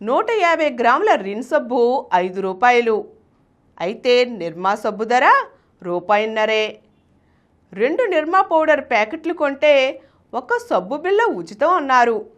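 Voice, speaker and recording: High-pitched, very expressive woman narrator. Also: measured pace, long pauses. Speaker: host and reader of a business success story show. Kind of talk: scripted storytelling narration.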